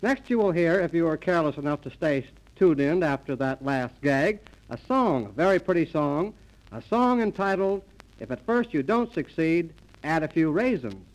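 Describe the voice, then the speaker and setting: A man announcing scripted 1930s radio comedy in a deadpan, mock-formal manner, on an old transcription disc. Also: fairly high pitch, moderate pace, rough recording.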